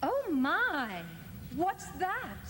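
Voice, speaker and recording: Woman playing a high, lilting, genteel mother. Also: huge pitch swings, drawn-out words, full character voice. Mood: fussy surprise. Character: genteel, fussy, unruffled wife and mother. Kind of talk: theatrical audio drama acting. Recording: long reverb, clear background noise.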